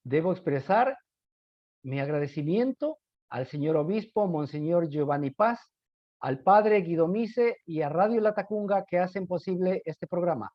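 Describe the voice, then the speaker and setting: A man's voice: sits high, lively intonation, normal speed, no room sound, lo-fi sound. A man with an Ecuadorian accent hosts a radio program, presenting and interviewing in a formal, courteous manner. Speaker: radio host.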